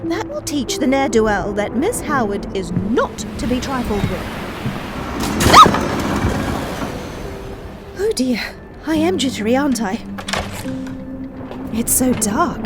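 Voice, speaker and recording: A woman's voice: fairly high pitch, very animated, measured pace, over music. A woman playing a spirited, indignant young Regency heiress in an audio drama, speaking in a prim, formal, wordy way. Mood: jittery, determined. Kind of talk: full-cast audio drama acting.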